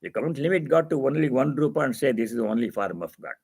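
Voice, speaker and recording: A man with a husky voice giving a spiritual teacher's reading and commentary, in halting phrases with repetition and explanatory asides. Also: medium pitch, expressive, darkish tone.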